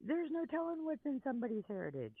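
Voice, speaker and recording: Lively American man, slightly dull recording. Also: very high voice, fast, no room sound. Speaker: talk show participant. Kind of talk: casual chat.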